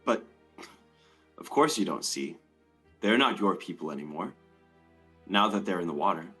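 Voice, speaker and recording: A man's voice: deep voice, expressive, moderate pace, pushed and bright, soft background music, exaggerated voice. A man playing a gloating mad lord, wildly swooping. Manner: theatrical, archaic, taunting speech. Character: menacing, gloating mad lord obsessed with owning unicorns. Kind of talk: dramatic stage play reading.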